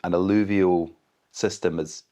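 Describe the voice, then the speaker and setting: A Scottish man speaking as an expert guest, explaining things in a measured, careful, conversational way. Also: low-pitched, steady tone, warm tone. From Scotland.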